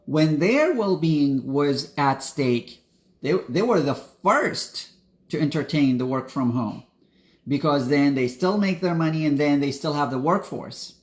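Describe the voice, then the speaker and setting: A man's voice: fairly high pitch, expressive, a little slow. A young man from Iraq, a spiritual coach, talking straight to his viewers in an earnest, preachy motivational style. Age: young adult. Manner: earnest, direct, preachy, addresses viewers as guys. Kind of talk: motivational talk to camera.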